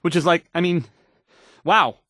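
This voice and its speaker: A man's voice: fairly high pitch, measured pace, fairly bright tone, no echo, studio quality. An actor playing a nervous, awkward young male radio DJ in a game, stammering and hesitating through the news, rambling and unsure of himself. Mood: anxious, rambling. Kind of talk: fumbled radio news report in a video game.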